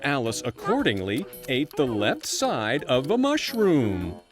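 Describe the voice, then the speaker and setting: A storyteller narrating a bedtime fairy tale in an expressive, playful storybook manner. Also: medium pitch, measured pace, over music.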